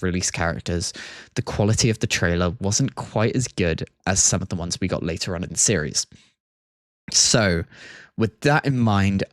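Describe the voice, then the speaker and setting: A man with a fairly low voice hosts a livestream in casual, methodical explanation. The sound is close and dry, with very little room around him. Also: dry recording. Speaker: livestream host. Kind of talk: casual scripted-free stream commentary and explanation.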